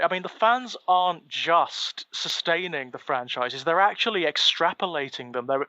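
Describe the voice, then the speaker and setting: A man co-hosting a casual podcast conversation, speaking in a measured, articulate and slightly formal way. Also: on the high side, bright, forceful tone, no room sound, lo-fi sound. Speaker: podcast co-host.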